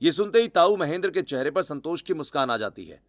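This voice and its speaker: A man's voice: fairly high pitch, very animated, fast talker. A man narrating a scripted cartoon family story.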